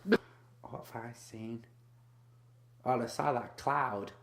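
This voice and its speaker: A young man co-hosting a comedy podcast, sweary and deadpan, teasing his friend. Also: mid-range voice, slow pace, heavy background noise. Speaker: podcast co-host. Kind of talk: casual comedy podcast chat.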